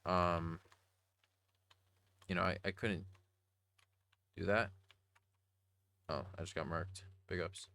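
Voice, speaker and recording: Low-voiced young man, casual confessional talk. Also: steady tone, takes it slowly, pauses often, bright, forceful tone, dry recording. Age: young adult. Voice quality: slightly raspy, breaking voice. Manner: rambling, slangy, earnest. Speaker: vlogger.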